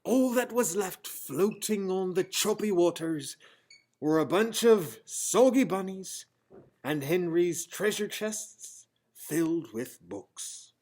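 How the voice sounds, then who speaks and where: A man reading a children's storybook aloud in a theatrical, expressive style. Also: high-pitched, slow pace. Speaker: storybook reader. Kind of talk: dramatic children's storybook narration.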